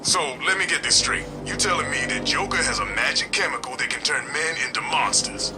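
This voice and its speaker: An actor playing a slow-witted, doubtful henchman in a video game, puzzled and incredulous. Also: fairly high pitch, expressive, music in the background, lightly acted.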